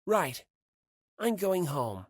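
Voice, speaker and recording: A man's voice: high voice, sing-song, drawn-out words, very noisy, pitched above the performer's own voice, heavily acted. A man voicing a bewildered, self-doubting teenage schoolboy, musing hesitantly to himself in an audiobook monologue. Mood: embarrassed, resigned.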